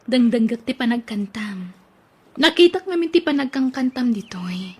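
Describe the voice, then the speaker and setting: A woman acting in an Ilocano radio drama, high-voiced and gentle. She speaks in a soft, reassuring way. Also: animated, moderate pace, husky. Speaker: actor in audio drama.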